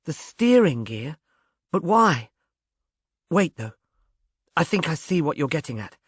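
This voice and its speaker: A man's voice: fairly high pitch, very animated, unhurried, husky, no echo, clean studio sound, voice pushed up, full character voice. A man voicing a composed, practical young woman who speaks politely and directly in a mystery audiobook. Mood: inquiring. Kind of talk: audiobook character voice, British mystery novel.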